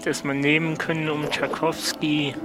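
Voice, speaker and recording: A man speaking in a plain, earnest, deliberate way as a guest player in an improvised audio adventure. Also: on the high side, little pitch movement, over music.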